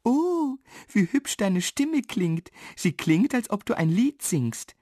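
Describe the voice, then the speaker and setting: A woman's voice: on the high side, lively intonation, a little slow, darkish tone, no room sound, studio quality, pitched above the performer's own voice. A woman voicing a kind, plucky and eager little girl in a children's storybook. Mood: charmed.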